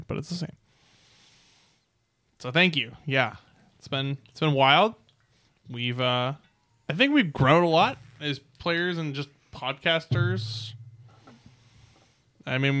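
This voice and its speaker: Bright-voiced young man hosting a game. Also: mid-range voice, no room sound. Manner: loose, self-correcting, joking. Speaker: host and Dungeon Master. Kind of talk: casual podcast chat. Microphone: studio mic.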